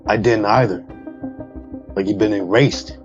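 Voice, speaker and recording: A man playing a tabletop roleplaying game in character, in short, terse phrases with a gravelly, husky voice. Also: lowish voice, steady tone, unhurried. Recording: dry, dull lo-fi audio, faint music.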